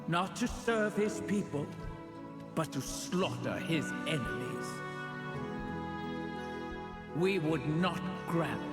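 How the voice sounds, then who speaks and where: An actor voicing an ancient, proud spirit god in a fantasy video game, solemn and commanding, making slow, grand pronouncements. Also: male voice, fairly high pitch. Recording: reverberant voice under loud game music.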